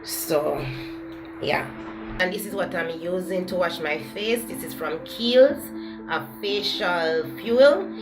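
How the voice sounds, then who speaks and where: A young woman with a Jamaican accent, whose first language is Patois, talks candidly to the camera in her home kitchen. She often pauses to search for words. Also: lowish voice. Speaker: beauty vlogger. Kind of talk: casual talk-to-camera beauty vlog. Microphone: camera close to the face. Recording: echoey kitchen with background music.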